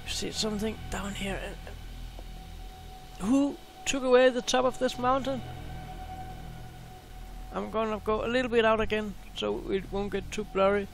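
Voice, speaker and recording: A man hosting a space video, talking casually and excitedly over a screen share, often repeating words. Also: high-pitched, moderate pace, creaky, pauses often, soft background music.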